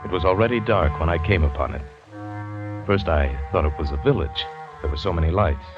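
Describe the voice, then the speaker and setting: A low-pitched male actor as a shipwrecked hunter recounts his ordeal in vivid, dramatic first person. It is old radio drama, with a dull, muffled sound and prominent music behind the voice. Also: fairly quick, close to an everyday voice. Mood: suspenseful. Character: shipwrecked American big-game hunter narrating his ordeal.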